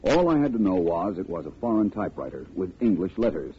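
A tinny old radio recording carries a suave American detective. His low voice moves freely as he talks with urbane, wry precision and total confidence. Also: big pitch swings, fast, a little hiss or hum. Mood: assured. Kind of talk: 1940s radio detective acting.

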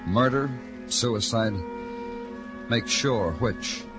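An actor playing a hard-boiled police detective in an old radio drama, clipped and brooding. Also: male voice, mid-range voice, very slow, music in the background. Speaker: detective and narrator. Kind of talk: 1950s radio noir narration and acting.

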